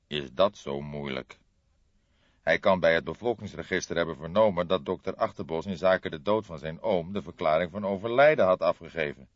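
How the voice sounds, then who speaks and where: A brooding older police detective played by a male reader in a crime audiobook. He is deep-voiced and weighs his words with formal care. The recording is very dry and a little dull. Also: no room sound. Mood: probing. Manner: deliberate, formal, ponderous. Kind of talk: audiobook character dialogue.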